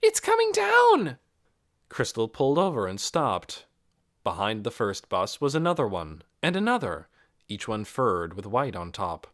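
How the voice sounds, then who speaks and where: An audiobook narrator reading a novel in a dramatic, expressive storytelling manner. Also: mid-range voice, normal speed, dry recording, clean studio sound.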